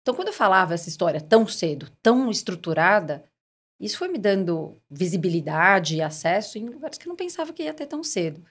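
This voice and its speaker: A woman's voice: mid-range voice, fast talker. A Brazilian woman in her forties telling career stories conversationally as an interview guest, repeating words for emphasis and acting out quoted remarks. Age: 40s. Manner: animated storyteller, emphatic repetition, quotes dialogue. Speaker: podcast guest, corporate executive.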